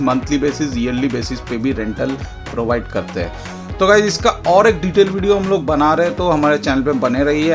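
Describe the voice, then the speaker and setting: A man with an Indian accent hosting a casual product demonstration, explaining step by step and repeating points for clarity. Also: mid-range voice. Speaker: product demonstrator and channel host. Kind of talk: casual product demo mixing Hindi and English. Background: background music.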